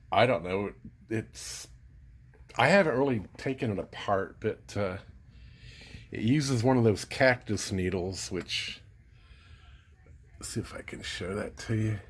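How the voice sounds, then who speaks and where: A man talking casually and unscripted as a vlogger showing gear, rambling and halting as he thinks aloud. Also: on the low side. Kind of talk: casual unscripted show-and-tell.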